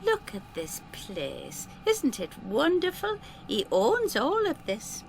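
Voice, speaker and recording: A woman's voice: high voice, huge pitch swings, slow, gravelly, a little hiss or hum, exaggerated voice, low-quality recording. An actress playing a vague, spaced-out woman in her seventies, wistful and deluded, in an audio drama. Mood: dreamy, proud.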